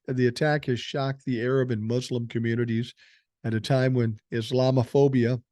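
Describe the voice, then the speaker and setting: Older man, blunt and profane, mellow voice, dry close sound. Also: medium pitch, dry recording. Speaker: podcast host. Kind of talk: political commentary, reading news aloud.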